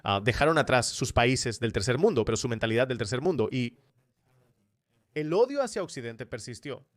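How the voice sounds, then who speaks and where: A man's voice: mid-range voice, dry recording, clean studio sound. A man presenting a scripted political commentary monologue in a polemical, sardonic manner. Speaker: commentator and host of opinion video.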